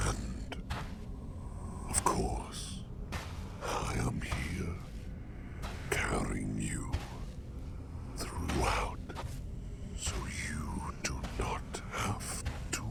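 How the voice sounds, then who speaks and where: ASMR sleep demon: solemn, protective, archaic phrasing, extremely gravelly, softly whispered, drawn-out delivery. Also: male voice, rumbling low, exaggerated voice. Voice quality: gravelly, breathy half-whisper. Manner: formal, archaic, slow deliberate phrasing. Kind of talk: ASMR roleplay.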